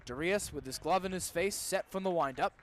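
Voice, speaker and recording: A man's voice: high voice, brisk, a bit bright. A young man doing live baseball play-by-play on the radio, as the game's announcer. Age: young adult. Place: ballpark during a live game. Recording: clear background noise, live ballpark broadcast.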